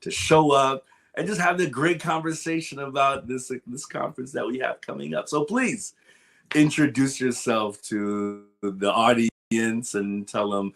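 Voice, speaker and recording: A man's voice: mid-range voice, fairly even intonation, unhurried, creaky, fairly bright tone. A man hosting a sponsor interview for a conference, upbeat and emphatic, often repeating his phrases. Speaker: interview host. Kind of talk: enthusiastic conference promo interview.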